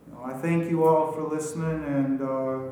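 A man's voice: fairly high pitch, steady tone, moderate pace, warm tone. A man from an amateur radio play cast giving informal closing thoughts in a plain, colloquial way. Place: inside a prison. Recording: very echoey, distant, faint noise and ambience.

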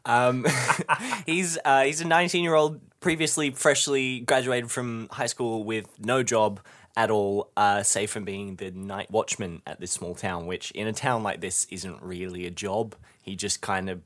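Male tabletop player, lowish pitch, casual, jokey, offhand delivery. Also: steady tone, unhurried, a bit bright. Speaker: podcast regular and role-playing player. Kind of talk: casual character introduction.

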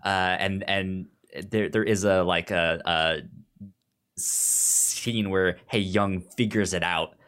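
A podcast co-host in a casual TV review chat, speaking haltingly and often stammering or repeating words. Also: low voice, fairly even intonation, fairly bright tone.

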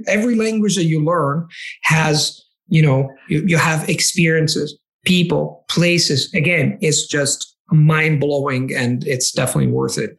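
A man's voice: on the high side, vocal fry, a bit bright. A man, a language coach answering interview questions in a rambling way with many restarts. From Italy. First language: Italian.

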